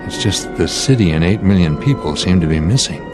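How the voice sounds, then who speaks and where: An actor playing a calm, commanding airline captain in an old-time radio drama style, speaking in clipped sentences. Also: male voice, low-pitched, fairly quick. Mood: grave, resolute. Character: steady, authoritative male airline captain. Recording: dramatic music underneath.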